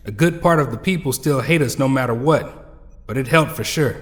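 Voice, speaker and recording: A man's voice: mid-range voice, normal speed, lightly acted. A man playing a plain-spoken, resolute young team member in an audio drama. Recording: reverberant, slightly noisy.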